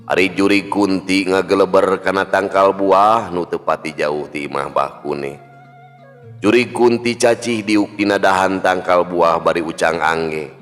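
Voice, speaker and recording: A man's voice: low voice, fairly bright tone. A man with a Sundanese accent narrating a folk tale in a storyteller's style. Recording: long hall reverb with music. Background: music.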